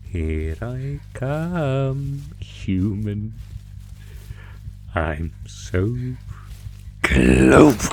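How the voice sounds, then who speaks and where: A man's voice: medium pitch, sing-song, creaky, over music, heavily acted. A man playing an ancient, predatory monster who theatrically taunts human prey in a horror audio roleplay. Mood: menacing, excited. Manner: theatrical, savoring, taunting, drawn-out phrasing.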